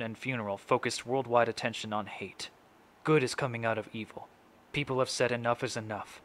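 A man's voice: medium pitch, pushed and bright, lightly acted. Young man playing a solemn grieving father. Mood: proud, resolute. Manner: solemn, deliberate, measured phrasing. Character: grieving, resolute middle-aged father addressing his son's killer. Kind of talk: dramatic stage monologue recitation. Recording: noisy amateur home recording.

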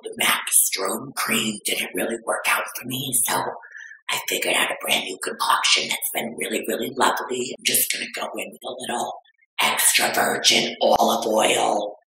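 A man voicing a vain, bawdy aging beauty vlogger woman who claims to be twenty-two, with a breathy, whispery drawl and gossipy theatrical delivery. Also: on the low side, sharp tone, full character voice. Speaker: makeup tutorial vlogger. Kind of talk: comedic makeup tutorial. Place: small decorated room indoors. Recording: echoey room.

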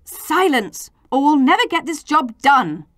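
A cross, impatient storybook grown-up, acted by a woman reader. The delivery scolds and grumbles, high and very expressive, in a dry recording. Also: unhurried, no room sound, heavily acted. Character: stern, impatient grown-ups scolding squabblers. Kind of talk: children's storybook characters.

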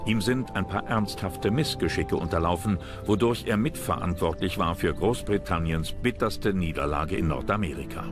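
A man giving measured, serious scripted narration for a history documentary. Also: low-pitched. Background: music.

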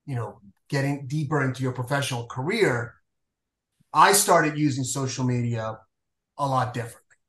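A man's voice: mid-range voice, normal speed, long pauses, fairly bright tone, reverberant. A man in his forties from Venezuela, a payments executive talking casually on a video call with many filler uhs. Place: home room, indoors. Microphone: video call webcam.

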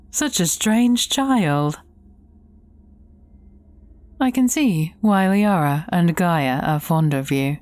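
A woman playing a menacing evil sorceress in a fairy-tale audio drama, speaking theatrically and often slipping into breathy whispers. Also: on the low side, expressive, drawn-out words, pauses often, a little mellow. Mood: amused, ominous.

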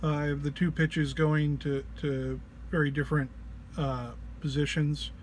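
A man who is under the weather gives an informal tutorial walk-through, explaining haltingly and hesitantly. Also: a little high, flat delivery, a little hiss or hum. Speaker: presenter of a gear walk-through.

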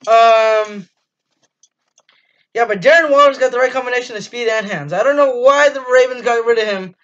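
A man's voice: very high-pitched, normal speed, fairly bright tone. A teenage boy hosting a casual sports review from a small dim room, talking enthusiastically with lots of ums and restarts. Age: teens. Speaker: fan channel host. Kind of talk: casual postgame review monologue. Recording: dull lo-fi webcam audio.

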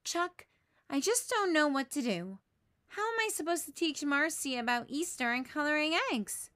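A woman's voice: high-pitched, expressive, normal speed, a bit bright, no room sound, clean studio sound, pitched above the performer's own voice. A woman voicing an exasperated, dramatic tomboy schoolgirl in a storybook, emphatic and plaintive. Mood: glum.

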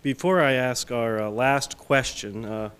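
A man at a medium pitch moderates a press luncheon, reading questions aloud with many halting pauses. Light background noise sits under his voice. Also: a bit bright. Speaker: event moderator. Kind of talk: moderating questions and presenting gifts. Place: press club event hall.

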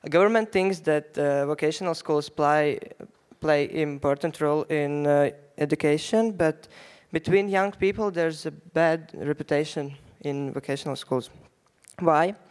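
Latvian teen guy speaking at a big conference panel into a handheld mic, higher clear voice, bit halting. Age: teens. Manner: halting non-native phrasing with fillers. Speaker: youth delegate. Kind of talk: prepared panel speech. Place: large conference hall stage.

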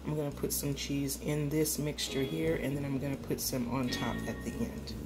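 A woman's voice: a bass voice, fairly even intonation, normal speed, rough recording. A woman hosting a home cooking video, chatty and instructional as she talks viewers through each step at her kitchen stove. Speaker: home cooking channel host. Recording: loud music under the voice. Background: sizzling food and loud background music.

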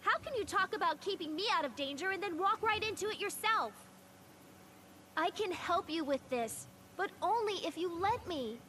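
A woman's voice: very high-pitched, expressive, normal speed, pushed and bright, a little hiss or hum, heavily acted. A woman playing an anxious, earnest teenage girl in a fantasy video game, with a pleading manner. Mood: insistent. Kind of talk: video game cutscene acting.

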